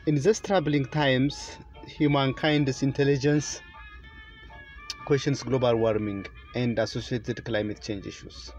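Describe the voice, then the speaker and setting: A middle-aged man from Ethiopia with an Ethiopian accent gives a formal, deliberate prepared speech to camera inside a car. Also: mid-range voice, steady tone, a little mellow, highs cut off, soft background music. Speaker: presenter, children's author.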